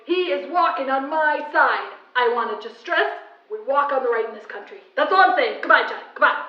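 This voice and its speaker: A woman's voice: sits high, expressive, a little fast. A woman playing a fussy, indignant small-town busybody caller who speaks in an emphatic, scolding way, for a comic radio drama. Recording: echoey, muffled phone-in sound.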